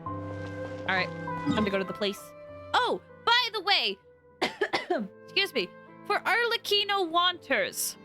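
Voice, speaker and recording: A woman giving chatty, expressive, casual commentary as she livestreams a video game. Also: extremely high pitch, takes it slowly, pauses often, over music. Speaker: game streamer.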